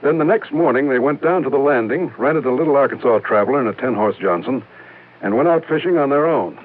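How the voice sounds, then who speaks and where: A man's voice: medium pitch, animated, quick pace, close to an everyday voice. Actor as worried insurance man on tinny vintage radio. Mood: concerned, businesslike. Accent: American. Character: worried, suspicious male insurance office manager. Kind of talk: 1950s radio drama dialogue. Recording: thin band-limited old radio broadcast.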